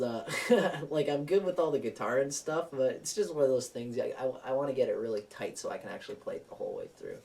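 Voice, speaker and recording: A young man giving a relaxed guitar lesson, rambling with plenty of fillers and restarts as he talks through chords and keys. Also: medium pitch, gravelly, recorded from a distance. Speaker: guitar teacher. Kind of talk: casual guitar lesson with singing. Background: acoustic guitar.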